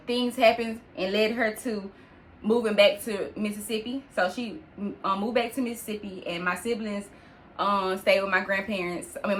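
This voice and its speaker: A woman's voice: medium pitch, bright, forceful tone. A woman, hosting her own podcast and telling her life story in a chatty way, talking straight to listeners as "sis". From New York. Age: 20s. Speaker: podcast host.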